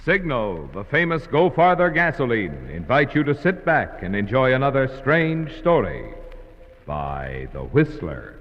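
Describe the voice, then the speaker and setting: A man announcing on a 1950s radio program in a sweeping, booming announcer delivery. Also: sing-song, unhurried. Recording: dull old radio recording with music.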